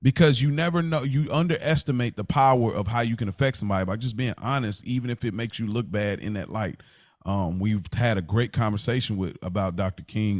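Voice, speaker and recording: Low-voiced man, casual podcast host. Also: no echo. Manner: informal, slangy, emphatic repetition.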